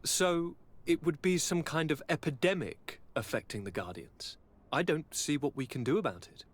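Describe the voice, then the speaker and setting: A breezy young aristocrat from a video game. The voice is fairly high and swings widely in pitch. He is courtly and affectionate, airily brushing things aside with theatrical polish. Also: expressive, normal speed. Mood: politely dismissive. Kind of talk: dramatic video game acting.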